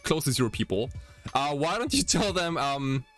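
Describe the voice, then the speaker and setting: A man's voice: fairly high pitch, big pitch swings, fairly bright tone. Rambling young man over faint music. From Germany. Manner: rambling, halting, filler-heavy. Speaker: podcast host. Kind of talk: casual chat. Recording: music behind the voice. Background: faint background music.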